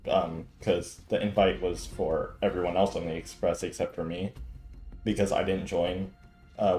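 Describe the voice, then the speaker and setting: A man's voice: low-pitched, dark tone. A man giving casual, chatty, rambling live commentary as a game streamer. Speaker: game livestreamer. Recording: roomy mic, faint game music.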